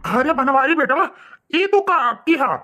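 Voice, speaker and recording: A man's voice: very high voice, expressive, unhurried, sharp tone, heavily acted. Man voicing an earnest older Awadhi family elder. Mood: shocked, reproachful. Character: caring, upright older father-in-law, the family elder. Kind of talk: cartoon family drama acting.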